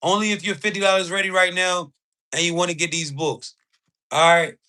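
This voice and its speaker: A man giving an informal, emphatic livestream sales pitch to his chat, talking in slang with frequent tag words. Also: steady tone, fairly quick, bright, forceful tone, rough recording. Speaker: live streamer selling spiritual ebooks.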